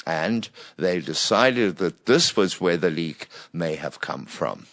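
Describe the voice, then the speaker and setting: A man narrating a scripted bedtime story for children in an expressive read-aloud manner. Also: fairly low pitch, no room sound.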